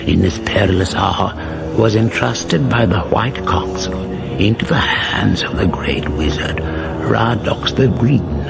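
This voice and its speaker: Deep slow old chronicler, deadpan epic fantasy narration, very portentous. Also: male voice, gravelly. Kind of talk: mock-epic scripted narration. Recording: loud music under the voice.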